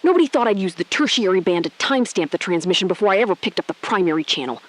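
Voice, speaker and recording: A woman playing a resolute, shrewd astronaut in an audio drama, speaking in a controlled, deliberate way and repeating phrases for emphasis. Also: medium pitch, animated, natural voice. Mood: firm, confronting. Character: resolute, shrewd female astronaut exposing a cover-up. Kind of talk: scripted audio drama, hushed dramatic phone-call acting.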